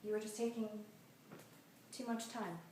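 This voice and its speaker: A woman playing an apologetic but firm girlfriend, gently dismissive, in a casual comic story. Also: a little high, steady tone, moderate pace, close to an everyday voice. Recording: echoey room, distant mic, faint noise.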